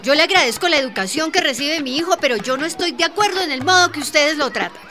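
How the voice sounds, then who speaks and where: A woman's voice: high voice, expressive, fast. An actress playing a protective, outspoken Colombian mother in a radio drama, with firm, colloquial speech. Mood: indignant, firm.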